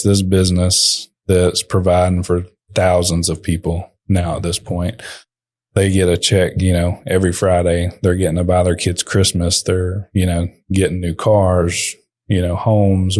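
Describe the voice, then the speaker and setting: Young man, business-owner guest: low, flat, soft-spoken, halting; dry close sound. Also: no echo. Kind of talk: podcast interview.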